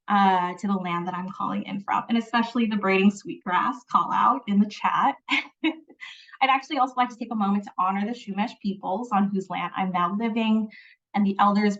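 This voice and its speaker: A woman's voice: mid-range voice, fairly bright tone. A woman opens a webinar with an earnest, reflective welcome, dropping in small laughs as she speaks. Her voice comes from a little way off the mic, and the audio is dull and lo-fi. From Philippines. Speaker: webinar host. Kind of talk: scripted welcome and land acknowledgement. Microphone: video call. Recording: distant, dull lo-fi call audio.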